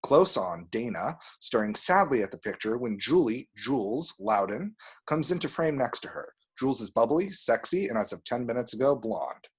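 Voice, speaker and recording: A man's voice: medium pitch, sing-song, moderate pace, few pauses, slightly rough. A man reading scene descriptions as the narrator of an amateur screenplay table read. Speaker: stage direction reader.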